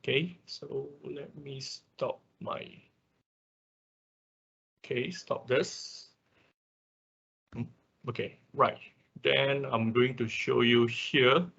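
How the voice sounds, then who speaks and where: A man teaching a software tutorial step by step in an unscripted, halting way, often marking steps with short filler confirmations. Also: mid-range voice, fairly even intonation, vocal fry, slightly rough. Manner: halting, often repeats "okay" and "right" as markers. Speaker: workshop instructor. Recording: dull lo-fi online class audio.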